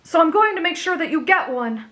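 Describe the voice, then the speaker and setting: A high, gentle teenage boy hero played by a woman from an anime audio roleplay. He is earnest, kind and naive, explaining things sincerely over faint music. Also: animated, brisk.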